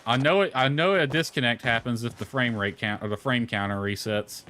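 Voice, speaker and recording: A man's voice: mid-range voice, brisk, pushed and bright, a little hiss or hum. A man giving casual, rambling commentary on a game livestream, mildly grumbling as he goes. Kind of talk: casual gameplay stream commentary. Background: music.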